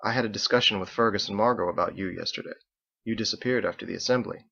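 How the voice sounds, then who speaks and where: A man voicing a courteous, resolute paladin leader with formal, measured phrasing, in an illustrated story reading. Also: fairly low pitch, creaky, bright, forceful tone, breathy edge, lo-fi sound, exaggerated voice. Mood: confiding.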